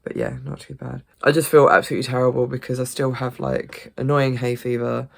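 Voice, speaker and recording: A woman's voice: a bass voice, level tone, a little fast, creaky. A British woman chatting casually in a vlog, rambling and swearing freely, sounding a bit congested. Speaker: gaming vlogger.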